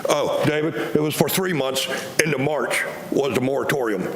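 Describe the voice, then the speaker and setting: A man with a big, loud voice scolds a city commission from the public podium, exasperated and lecturing. His tone is gravelly and a little high. The room sounds echoey, with faint noise behind him. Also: moderate pace. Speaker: public commenter. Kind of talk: public comment at a city meeting. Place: council chamber. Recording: roomy chamber with faint noise.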